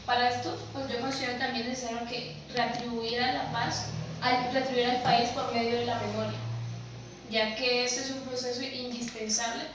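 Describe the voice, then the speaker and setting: An earnest woman English teacher with a slightly high voice reflects aloud on a panel, stumbling now and then. She sounds distant, caught in a very echoey room with faint background noise. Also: little pitch movement, normal speed. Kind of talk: academic panel discussion. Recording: echoey room, far from the mic.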